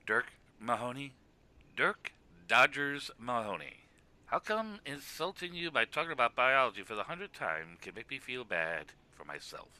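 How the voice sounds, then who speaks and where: A man's voice: mid-range voice, big pitch swings, normal speed, sharp tone, clean tone. A man playing an exasperated, mocking wrestler in a scripted web cartoon, scolding with emphatic sarcasm. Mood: indignant. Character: exasperated, mocking male wrestler, the self-styled straight man. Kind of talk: scripted comic argument in web animation.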